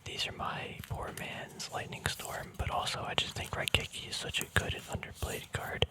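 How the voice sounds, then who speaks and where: A man speaking in a soft, unvoiced whisper, relaxed and chatty, hosting a casual ASMR walkthrough. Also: normal speed. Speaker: ASMR video host.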